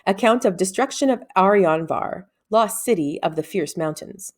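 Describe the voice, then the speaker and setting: A woman reading a children's fantasy novel aloud as an expressive first-person narrator. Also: medium pitch, moderate pace. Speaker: audiobook-style reader.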